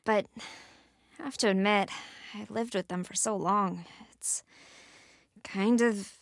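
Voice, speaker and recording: A woman's voice: medium pitch, fairly even intonation, takes it slowly, no room sound, clean studio sound. An actress voicing a wary, sardonic young woman in a horror video game, muttering to herself. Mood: hushed wonder. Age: young adult. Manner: muttering to herself, hushed, breathy.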